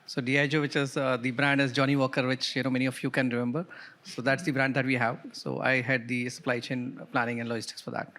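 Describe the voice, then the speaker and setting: A man's voice: mid-range voice, level tone, fast, pushed and bright. A man from India speaking on a conference panel as a supply chain head, polite and formal.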